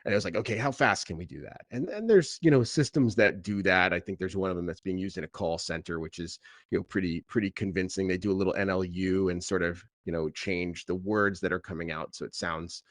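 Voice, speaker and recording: A man with a very low voice talks casually in an interview, as a founder explaining his work. He pauses and pads his sentences with filler phrases, and the sound is close and dry. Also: no room sound. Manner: hesitant, halting delivery with many filler phrases. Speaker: tech founder being interviewed.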